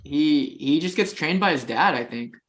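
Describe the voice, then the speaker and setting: A man's voice: a little high, lively intonation, moderate pace, a bit bright. A young man co-hosting a podcast, chatting casually, joking in enthusiastic bursts. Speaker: podcast co-host. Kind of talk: casual chat.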